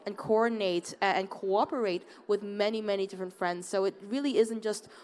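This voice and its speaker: A woman's voice: medium pitch, fairly quick, darkish tone. A woman from Hong Kong speaking on a conference panel, earnest, often restarting and repeating words. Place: conference open area.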